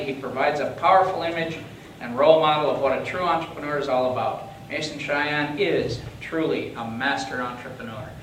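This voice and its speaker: Man, presenter, folksy, emphatic, far from the mic, echoey room. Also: a little high. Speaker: award presenter. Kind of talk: enthusiastic introduction speech. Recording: roomy hall, far from mic, noisy.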